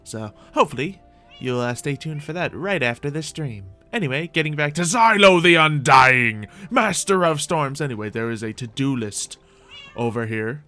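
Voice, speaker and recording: A man's voice: on the high side, expressive, normal speed, bright, forceful tone. Man streaming a game over music. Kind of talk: live gaming stream commentary with playful roleplay.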